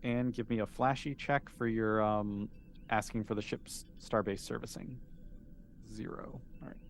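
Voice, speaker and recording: A man's voice: fairly low pitch, fairly even intonation, measured pace, slight background noise. A man acting as game master, casually narrating a tabletop game and calling for rolls.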